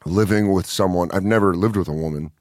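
A man in his thirties talks in a laid-back, self-mocking way and laughs easily. His voice sits low, and the sound is close and dry. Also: fairly quick, no room sound. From Colorado, USA. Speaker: podcast guest, comedian. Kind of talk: casual interview chat.

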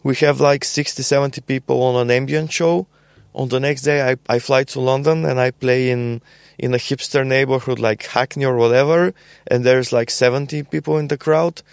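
A young adult man with a Bulgarian accent, a podcast guest talking casually in a halting way with many fillers and repeated words. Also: mid-range voice, fairly even intonation, creaky, clear, resonant tone, no room sound. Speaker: podcast guest, label co-founder. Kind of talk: casual conversational interview.

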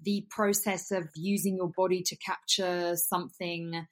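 A woman with a fairly low voice, born in Bulgaria and raised in England, talks as an interview guest. She answers in a thoughtful, halting way, circling back and filling gaps as she finds her words. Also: level tone. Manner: thoughtful, hesitant, many fillers. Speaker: actor, podcast guest.

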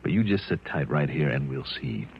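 American radio detective: no-nonsense, skeptical, swinging intonation, quick clipped questions, old muffled broadcast sound. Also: male voice, rumbling low, expressive, slightly rough, faint music behind, full character voice. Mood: brisk, decisive. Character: shrewd, no-nonsense male investigator, sardonic and probing. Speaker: lead actor in a radio drama. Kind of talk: mid-century American radio detective acting. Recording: dull vintage radio drama recording.

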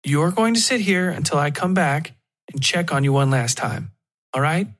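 A man voicing a brisk, caring woman doctor who gives matter-of-fact instructions in an audio story. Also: a little high, animated, a bit bright, voice pushed up. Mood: brisk, reassuring.

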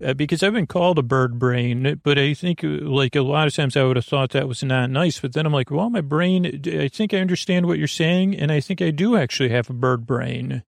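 A man hosting a bedtime podcast in a creaky voice, with a lulling, halting delivery that meanders off on tangents. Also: on the high side, no room sound, studio quality. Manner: lulling, soothing, halting and digressive. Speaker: podcast host. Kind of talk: rambling, meandering bedtime monologue.

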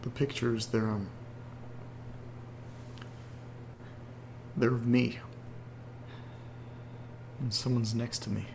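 A man playing a nervous young guy, gravelly voice. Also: medium pitch, level tone, measured pace, noisy background. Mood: frightened. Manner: rambling, nervous, hesitant. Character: anxious young man receiving strange photos. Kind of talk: found-footage horror audio drama.

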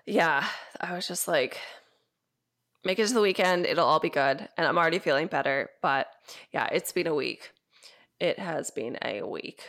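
Congested, gravelly-voiced young woman chatting. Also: deep voice, moderate pace, a bit bright. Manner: rambling, filler-heavy, laughs often. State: recovering from illness, stuffy. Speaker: podcast co-host. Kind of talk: casual chat.

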